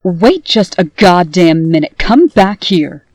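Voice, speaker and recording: A woman performs a bewildered fairy-tale heroine for a comic dub. Her low voice is slow and dry-recorded, with a stern, exasperated edge. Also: animated, a little mellow, dry recording. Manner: exasperated, demanding. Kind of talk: comic-book dub acting.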